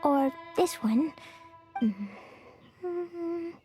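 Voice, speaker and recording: A cheerful little girl in an anime game, squeaky and very high. She talks slowly in simple, guileless phrases, with wildly swinging pitch. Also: lively intonation, dark tone, soft background music, heavily acted. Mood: eager, searching. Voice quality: childlike, squeaky. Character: cheerful, naive little girl, rash and excitable. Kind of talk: anime-style video game acting.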